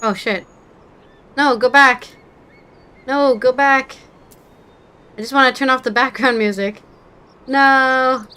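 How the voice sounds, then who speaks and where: A woman's voice: high-pitched, fairly even intonation, slow pace, pauses often, sharp tone, smooth. Chatty woman with a slight Southern twang. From southern Maryland, US. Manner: chatty, self-deprecating, laughs often. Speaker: streamer. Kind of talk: casual chat while gaming.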